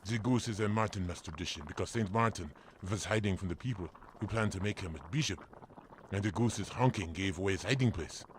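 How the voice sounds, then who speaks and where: An actor playing a kindly, patient German village priest in a family audio drama, gentle and measured in manner. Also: male voice, low-pitched, a little hiss or hum, natural voice. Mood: warmly explaining. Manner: gentle, measured, formal, explanatory.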